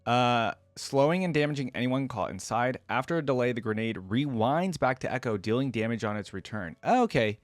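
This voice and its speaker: A man's voice: medium pitch, lively intonation, a little fast, hardly any pauses, no echo. A man giving casual reaction commentary, informally thinking aloud as he hosts. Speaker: reaction video host.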